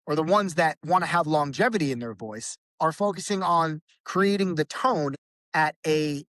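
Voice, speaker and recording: A man's voice: on the high side, fairly bright tone, no echo. A man talking casually as a guest about his band's vocals, rambling with many restarts and fillers. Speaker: podcast guest, band vocalist. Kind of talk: casual conversation.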